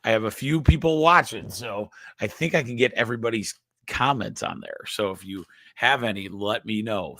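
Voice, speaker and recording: A man with a nasal voice, a chiropractor hosting a live-streamed health explainer, who talks haltingly with frequent restarts and keeps checking in with the audience. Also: fairly low pitch, bright, forceful tone, no room sound, clean studio sound. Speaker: chiropractor host.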